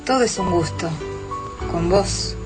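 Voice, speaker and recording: A woman's voice: fairly low pitch, a little slow, music in the background, close to an everyday voice. An actress playing a young woman who survived an invasion, in a radio drama. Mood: soft, inviting.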